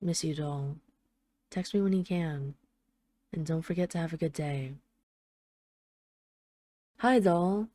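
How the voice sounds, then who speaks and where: A woman's voice: low voice, warm tone, no room sound, clean studio sound. A woman playing a tough but tender, jealous mafia boss, speaking intimately to an absent partner in a scripted audio roleplay voicemail. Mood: fond, affectionate. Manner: intimate, halting, sweary, addressing an absent lover.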